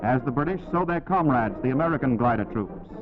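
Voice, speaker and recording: A man's voice: mid-range voice, lively intonation, fast talker, over loud music. A man narrating a scripted 1940s wartime newsreel in a dramatic, declamatory style with emphatic short phrases. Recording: tinny 1940s newsreel soundtrack, narrow band.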